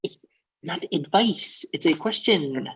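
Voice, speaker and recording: A man's voice: fairly high pitch, lively intonation, slow, a bit bright, husky, heavily acted, poor audio. A man reading a meek, awkward young friend. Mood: questioning. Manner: hesitant, mild delivery. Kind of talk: table read of a comedy script.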